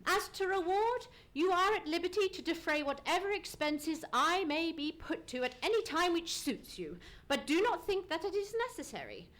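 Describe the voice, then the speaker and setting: A woman playing a courteous, self-assured gentleman detective in a live radio play, with formal, deductive delivery. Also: very high voice, animated, normal speed, few pauses. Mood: assured, reassuring. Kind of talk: live old-time radio play acting. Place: live on a theatre stage.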